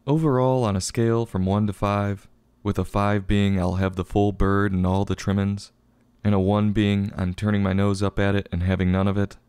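Low-voiced man reading a tongue-in-cheek review. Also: a little mellow, clear, resonant tone, dry recording. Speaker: book review show host. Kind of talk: scripted review narration.

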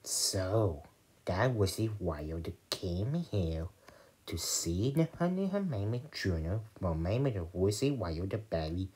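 An excitable cartoon character from a homemade skit, acted out by a woman. The voice is husky and slow. It stumbles and repeats itself, yet its pitch moves around in an animated way. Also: heavily acted. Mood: halting, explaining. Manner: halting, stumbling delivery with repeated words.

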